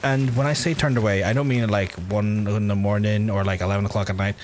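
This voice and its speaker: A man's voice: lowish voice, steady tone, a little fast, slight background noise. A young Irish man chatting casually while livestreaming a video game, rambling with fillers and casual swearing. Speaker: game streamer.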